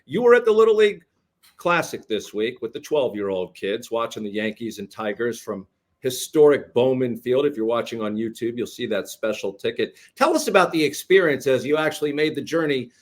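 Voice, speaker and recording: A man co-hosts a podcast in a relaxed, conversational way. He sets up questions and trades easy banter. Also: medium pitch, brisk. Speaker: podcast co-host. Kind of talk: casual interview chat.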